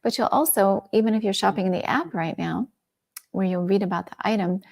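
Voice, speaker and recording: A middle-aged woman hosts a shopping livestream in a casual, enthusiastic way. Her voice sits at a middle pitch with a noticeable gravelly fry, and she slips into soft, near-whispered asides. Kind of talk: casual product presentation.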